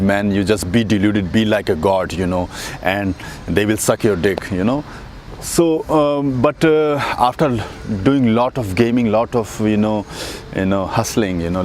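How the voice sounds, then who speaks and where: A man's voice: on the low side, darkish tone. A man with an Indian accent talks in a rambling casual vlog with many fillers and restarts, sitting outdoors on a windy day. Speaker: dating coach vlogger. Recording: faint street and traffic noise outdoors.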